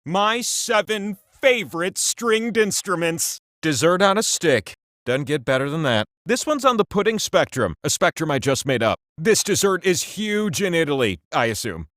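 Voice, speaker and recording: A man's voice: fairly high pitch, big pitch swings, moderate pace, a bit bright, no room sound, studio quality. A man voicing a cocky, playful game-show wheel that hosts a comic trivia game with theatrical, wry, mock-pompous asides. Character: talking game-show wheel, cocky, playful know-it-all male host. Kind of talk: comic quiz-game hosting.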